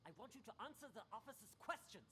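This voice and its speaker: A woman's voice: fairly high pitch, very animated, quick pace, fairly bright tone, slight background noise. A woman playing a firm, authoritative hospital staffer, reproving and clipped in a radio drama style. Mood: stern. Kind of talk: interactive radio drama acting.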